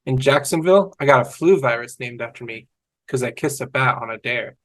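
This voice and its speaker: A man's voice: medium pitch, a little fast, a bit bright, natural voice. A man playing a cheerful, dim-witted young man in a scripted comedy read over a video call. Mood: upbeat, sincere. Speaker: fan table-read actor.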